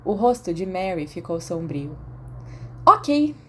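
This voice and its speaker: A woman's voice: on the low side, animated, normal speed, mellow, a little hiss or hum. A woman with a Brazilian accent reading scripted fiction as an audiobook narrator.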